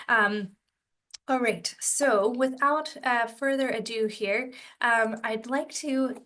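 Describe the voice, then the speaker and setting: Webinar host woman, slightly high voice. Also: a bit bright. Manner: friendly, frequent ums. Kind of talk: presenting and moderating a panel. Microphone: video call.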